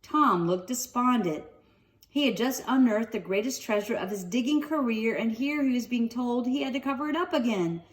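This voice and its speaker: Expressive woman reading a storybook, slightly distant. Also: a little high, moderate pace. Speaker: storybook reader. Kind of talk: children's read-aloud narration with casual asides. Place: sunroom at home.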